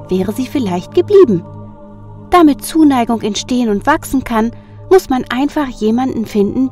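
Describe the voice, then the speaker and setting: A man voicing a cheerful, kind sun goddess who speaks gently and with compassion, music behind. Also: very high voice, pitched above the performer's own voice.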